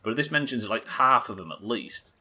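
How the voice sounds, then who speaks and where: A man with a bright, forceful voice at an ordinary male pitch. He commentates casually as a game streamer, musing out loud and often questioning his own reasoning. The talk is informal and a bit sweary. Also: moderate pace. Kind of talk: casual gaming livestream commentary, thinking aloud.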